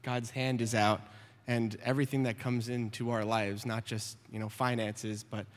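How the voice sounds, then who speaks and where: A man giving a church testimony, calmly reading scripture. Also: mid-range voice, moderate pace. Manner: relaxed, reads scripture steadily. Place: church sanctuary. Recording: church hall reverb.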